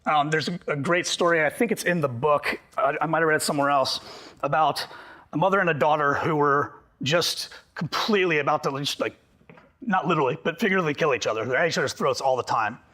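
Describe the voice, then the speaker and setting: A man presenting a workshop to a group, talking fast and animated, slightly hoarse from losing his voice. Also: on the high side. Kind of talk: live workshop talk to a group.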